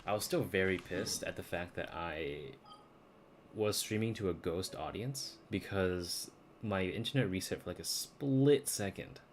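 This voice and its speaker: A man's voice: low voice, a little slow, a little hiss or hum. A man streaming a video game and chatting casually in slangy, informal language. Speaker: live streamer. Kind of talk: casual gaming stream chat.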